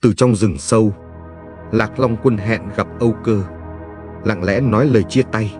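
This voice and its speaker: A man reading a scripted legend as a storyteller, in a solemn, measured manner. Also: lowish voice, a little mellow, faint music behind. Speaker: narrator.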